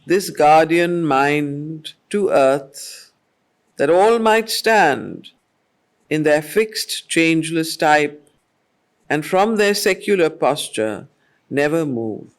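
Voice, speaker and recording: Female study circle facilitator, mature, unhurried and deliberate, fairly low-pitched for reading and teaching verse. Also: animated. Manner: measured, deliberate, questioning the group. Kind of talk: slow poetry reading with explanatory teaching.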